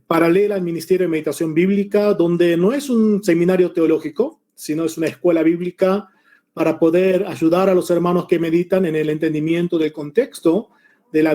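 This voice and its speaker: A man in his forties, born in Korea and raised in Argentina, speaking Spanish with a mix of Argentine and Mexican accent, teaching a Bible lesson over a video call in a relaxed, chatty way with many hesitations. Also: high voice. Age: 40s.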